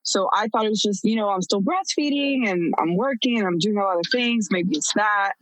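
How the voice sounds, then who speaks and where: A woman's voice: mid-range voice, a little fast, no room sound, low-quality recording. A woman in her thirties telling her own story as a podcast guest, candid and blunt, laughing often. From United States. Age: 30s. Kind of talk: casual storytelling.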